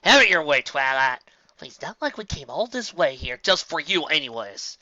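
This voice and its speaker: A stubborn country pony mare, as a woman reads her talks in a folksy rural drawl, blunt and loud. Her voice sits high and forceful. The recording is lo-fi, dull and close-miked. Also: big pitch swings, moderate pace, no room sound, full character voice. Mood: scornful.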